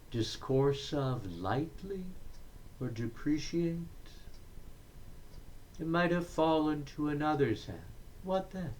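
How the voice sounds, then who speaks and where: Very slow-speaking man reciting verse. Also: mid-range voice, a little hiss or hum. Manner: deliberate, declamatory, with frequent pauses between short phrases. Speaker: poetry reader.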